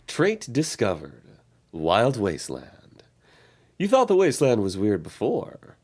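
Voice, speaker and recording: A man's voice: on the low side, huge pitch swings, a little slow, a little mellow, heavily acted. A man playing a mischievous game announcer, reading out notes in a theatrical, taunting way. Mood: gleefully teasing. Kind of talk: game-style announcement in audio drama.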